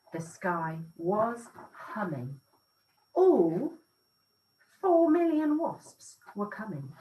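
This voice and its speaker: A woman teacher reading a rhyming picture book aloud in an expressive, sing-song storytelling way. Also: fairly high pitch, distant mic, a little hiss or hum, low-quality recording.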